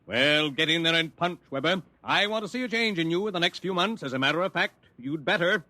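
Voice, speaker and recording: An actor playing a pushy, lecturing law-firm boss in a 1950s radio comedy drama. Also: male voice, on the high side, expressive, a little fast, natural voice. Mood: brisk, threatening.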